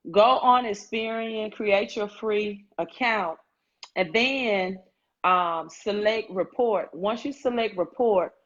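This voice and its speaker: A credit coach joins a live stream over a video call and talks through her advice slowly, with frequent ums. Her voice sits in a middle range. The call audio sounds dull and lo-fi. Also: medium pitch. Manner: careful, many filler ums.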